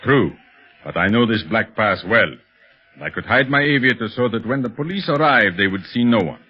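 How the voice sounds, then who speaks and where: An actor playing a scheming, imperious criminal gang master in a 1930s radio serial, speaking with deliberate menace. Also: male voice, on the low side, animated, slightly rough. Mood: calculating. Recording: muffled, dull 1930s radio recording.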